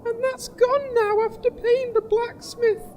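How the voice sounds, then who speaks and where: A man's voice: very high-pitched, steady tone, a little slow, a little mellow. A man voicing a meek, naive little sidekick in a comedic fantasy audio drama, whiny, plaintive and politely deferential. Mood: hurt, tearful.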